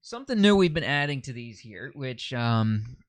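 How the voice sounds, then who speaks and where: Easygoing Mid-podcast host, mid pitch, dry close mic. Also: male voice, big pitch swings, moderate pace, no echo. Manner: easygoing, laughs along, restarts often. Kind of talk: casual interview chat.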